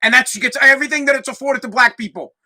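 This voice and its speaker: Loud, brash Boston man ranting. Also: extremely high pitch, racing. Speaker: podcast host. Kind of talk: solo podcast rant.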